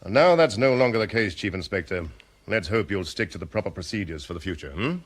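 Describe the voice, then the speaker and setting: A man's voice: low-pitched, expressive, quick pace, husky. A man playing a stiff, sarcastic police superintendent in a vintage radio drama, curt and reproving.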